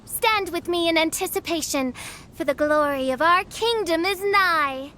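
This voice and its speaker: An actress playing a grandiose young princess in an anime game, declaiming in pompous, archaic phrases. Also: female voice, extremely high pitch, measured pace, sharp tone, slight background noise, heavily acted. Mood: ominous, proclaiming. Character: grandiose theatrical young princess holding court.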